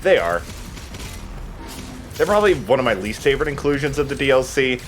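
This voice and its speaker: A man's voice: mid-range voice, sing-song, brisk, faint music behind. A man giving casual, conversational gameplay commentary as a let's play host.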